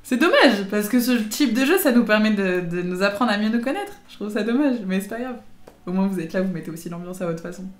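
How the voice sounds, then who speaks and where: A woman's voice: medium pitch, animated, quick pace, roomy sound. A young adult woman chatting casually with her livestream viewers in informal, slangy, teasing speech. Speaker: live streamer chatting with viewers.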